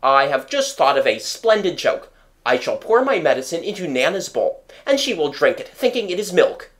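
A storybook reader voicing a blustering, pompous middle-aged father who declaims loudly and indignantly. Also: medium pitch, extremely slow. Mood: eager, scheming. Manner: loud, indignant, emphatic declaiming.

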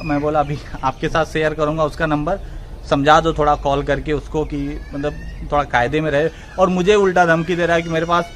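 Male gaming vlogger, twenties, emphatic casual talk, higher-than-average voice, light outdoor background noise. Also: a bit bright. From India. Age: 20s. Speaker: gaming channel host. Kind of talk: casual vlog talk to camera. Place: outdoor open yard with trees.

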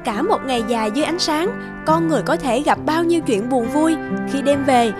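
High-voiced gentle cartoon girl. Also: lively intonation, a little fast. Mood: gentle, consoling. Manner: gentle, reassuring. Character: kind, bright young Light spirit girl. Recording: clear music behind the voice.

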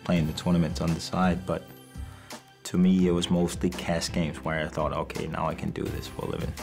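Man, 40s, deep and laid-back, interview with music bed. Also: little pitch movement, a little fast, creaky. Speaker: poker player. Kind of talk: sit-down interview. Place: dark studio set.

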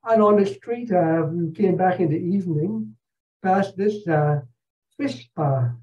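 An older man from Barbados presents a slideshow with a fairly high, soft-edged mellow voice. He explains things in a measured, halting way. The sound is a slightly dull video-call recording from an indoor room. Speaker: presenter, retired professor. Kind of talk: narrated travel slideshow talk.

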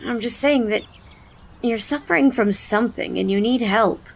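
A woman's voice: a little high, normal speed, gravelly. A young woman playing a concerned, frank confidante in an audio drama, with a firm, reasoning delivery. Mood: firm, worried. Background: music.

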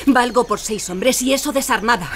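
A woman's voice: fairly high pitch, moderate pace, a little hiss or hum, lightly acted. A woman playing a bold, proud young noblewoman. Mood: defiant, challenging. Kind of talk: Spanish audio drama acting.

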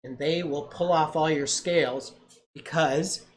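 A frightened animal locked in a cage speaks slowly and gravely, as a storyteller reads it aloud. There is a faint hiss under the voice. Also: mid-range voice, steady tone, close to an everyday voice. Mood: fearful. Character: frightened caged animal warning of death.

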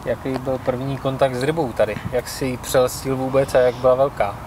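A man's voice: medium pitch, little pitch movement. A man interviewing an angler outdoors by a lake, asking brief, casual questions from off camera. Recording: outdoor recording with clear background noise.

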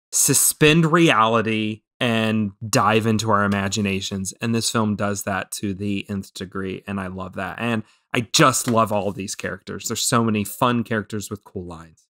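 A man's voice: on the low side, unhurried, bright, forceful tone. Enthusiastic American man chatting about films. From American Midwest. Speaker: podcast co-host. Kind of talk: casual film discussion. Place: small room at home, indoors. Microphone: close podcast microphone with headphones.

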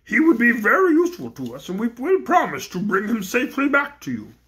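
A woman's voice: fairly high pitch, huge pitch swings, fairly quick, fairly bright tone, pitched above the performer's own voice. A woman doing a formal, courteous frog-man. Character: polite, dignified male frog-man.

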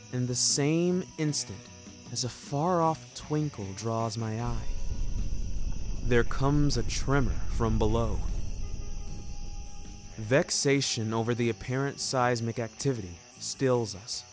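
A man tells a first-person story with deliberate, slow pacing and a middle-range pitch. His delivery is wry, wordy and theatrical, like a scripted fiction narrator. Music plays beneath his voice. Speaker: narrator of an audio fiction series.

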